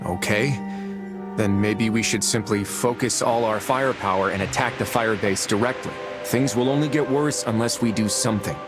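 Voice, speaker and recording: An actor playing an earnest, determined soldier hero in a video game, speaking with resolve. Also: male voice, fairly low pitch, moderate pace, music in the background, lightly acted. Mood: earnest, urgent. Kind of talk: dramatic video game acting.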